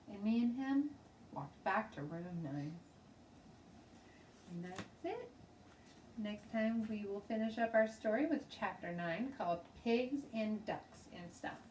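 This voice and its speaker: A woman teacher narrating a children's storybook aloud in an expressive, dramatic way. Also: mid-range voice, measured pace, long pauses. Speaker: teacher reading stories aloud. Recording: roomy, distant mic, faint background noise.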